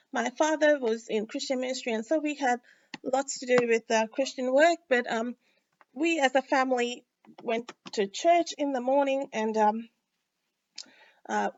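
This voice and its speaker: A middle-aged woman from Sri Lanka talks through a cardmaking demonstration, her voice a little high. She goes at an unhurried pace and fills her pauses with ums and uhs. The recording is dry and somewhat dull. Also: fairly bright tone, dry recording. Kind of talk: casual craft tutorial.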